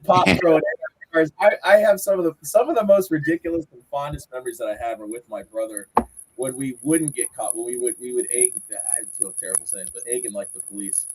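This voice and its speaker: A man's voice: mid-range voice, fairly quick, noisy background. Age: 40s. Sex: man. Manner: rambling storyteller. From Southwest Florida, United States. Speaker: podcast guest. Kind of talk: casual chat.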